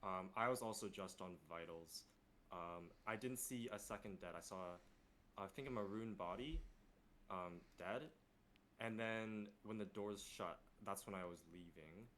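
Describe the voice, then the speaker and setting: A man giving his account in a game in a halting, tentative, casual way. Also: fairly low pitch, a bit bright, slight background noise. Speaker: player in a group online game.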